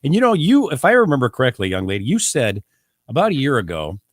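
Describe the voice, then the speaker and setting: A male podcast host with an animated voice that swings up and down as he jokes and teases his guests. He laughs often and keeps the chat loose, and the recording is dry and close. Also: mid-range voice, fast, no echo. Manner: joking, laughs often, lively storytelling.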